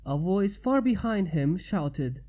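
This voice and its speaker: Mellow, unhurried woman reading a story. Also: lowish voice, animated. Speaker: narrator. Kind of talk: fan fiction story reading.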